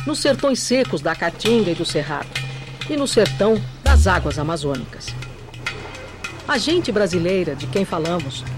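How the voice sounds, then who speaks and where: A woman narrating a documentary in a slow, measured, solemn way. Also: medium pitch. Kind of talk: scripted narration. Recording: music bed under the voice.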